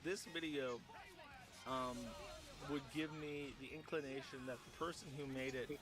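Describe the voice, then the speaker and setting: A man's voice: mid-range voice, fairly even intonation, moderate pace. A man giving casual livestream commentary as host, rambling, digressing and swearing casually.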